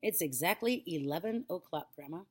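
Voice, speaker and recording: A woman's voice: lively intonation, normal speed, darkish tone. A woman voicing a polite, cheeky young boy in a children's story reading. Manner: polite, eager, slightly raised pitch.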